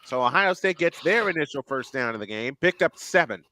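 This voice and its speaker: A man calls a football game play by play with a bright, forceful voice pitched a bit high. He rattles through formations and snaps and gets excited on the big plays, now and then correcting himself. The sound is close and dry. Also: a little fast, no echo. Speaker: play-by-play announcer. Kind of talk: alternative play-by-play commentary.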